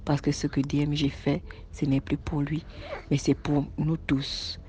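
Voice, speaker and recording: A woman's voice: low-pitched, little pitch movement, unhurried, mellow. A woman telling a true spooky story in an earnest way, repeating phrases for emphasis, then urging listeners to pray and subscribe. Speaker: story contributor. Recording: slightly dull sound with faint noise.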